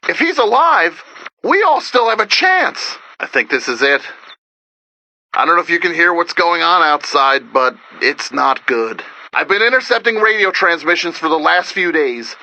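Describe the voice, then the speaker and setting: Animated, bright-voiced radio DJ. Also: male voice, high voice, exaggerated voice, poor audio. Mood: weary, grave. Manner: dramatic, urgent, wry, conversational. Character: earnest, wry, excitable survivor radio DJ in an apocalypse. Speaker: radio broadcaster character. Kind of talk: video game voice acting, radio broadcast monologue.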